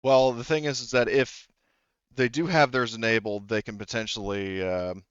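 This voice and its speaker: A man's voice: medium pitch, steady tone, normal speed, clean tone, no echo, dull audio. A man acting as game master, explaining tabletop game details in a hesitant, rambling way. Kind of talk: improvised tabletop game narration.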